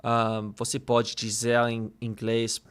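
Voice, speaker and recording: Slow, halting man learning Portuguese. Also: medium pitch, monotone, fairly bright tone, smooth. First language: English. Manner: hesitant, repeats words while searching for Portuguese. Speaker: language learner and vlogger. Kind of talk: casual study vlog, thinking aloud.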